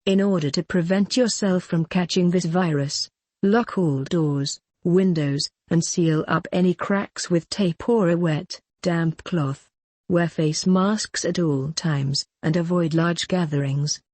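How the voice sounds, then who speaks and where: Lowish, dry female government alert announcer. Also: a little mellow, dry recording. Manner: measured, impersonal. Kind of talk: formal scripted government bulletin.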